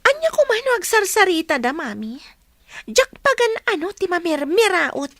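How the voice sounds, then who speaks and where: A woman's voice: very high voice, very animated, normal speed, fairly bright tone, dry recording, exaggerated voice. A woman playing a spoiled, scheming daughter in a radio soap drama, whiny and exaggerated. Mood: whiny, puzzled. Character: shrill spoiled scheming daughter of a manipulative mother.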